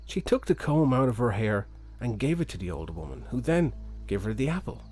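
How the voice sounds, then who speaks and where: A man's voice: medium pitch, very animated, brisk, a little mellow, faint music behind. A man reading a fairy tale expressively as a children's storyteller.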